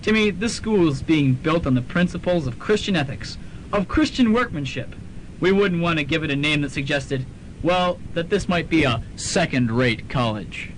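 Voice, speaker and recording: Callous rich backer, a man acting: self-important, talks down to people, raised pitch, faint noise behind. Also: animated, normal speed, close to an everyday voice. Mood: pompous. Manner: pompous, condescending. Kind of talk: radio drama acting. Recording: noisy amateur student radio recording.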